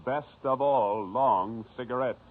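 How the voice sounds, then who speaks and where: An American man announcing formally for 1950s radio in a solemn, measured manner. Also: mid-range voice. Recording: muffled vintage radio with faint music.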